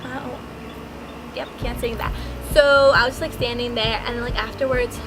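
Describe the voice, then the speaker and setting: Chatty high-voiced young woman over loud music. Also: steady tone, moderate pace, poor audio. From United States. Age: young adult. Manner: chatty, peppered with "like", acts out her past self. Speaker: vlogger. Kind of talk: casual storytime chat.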